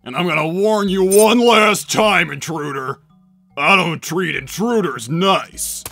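Woman doing brawny hothead male echidna, loud, barking threats. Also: lowish voice, takes it slowly, vocal fry, faint music behind, exaggerated voice. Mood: threatening.

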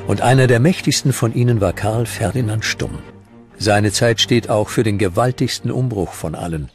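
A man's voice: lowish voice, normal speed. A man reading scripted narration for a historical documentary. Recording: music bed under the voice. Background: background music.

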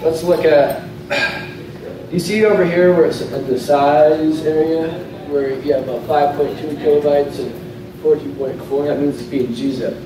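A man's voice: on the high side, fairly even intonation, measured pace. Man speaking in a very echoey hall. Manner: loose, conversational, restarts often. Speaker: conference speaker. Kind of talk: casual technical talk with live demo. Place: hotel conference room, in front of a projector screen. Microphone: lectern mic, recorded from a camera at the back of the room. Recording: very echoey room, voice from far off, clear noise.

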